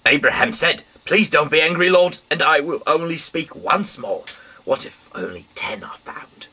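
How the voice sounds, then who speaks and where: A man reading scripture aloud informally in a halting way, repeating and stumbling over words, with a raspy, breathy voice that often trails off. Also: fairly high pitch, expressive, bright, forceful tone. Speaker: amateur Bible reader.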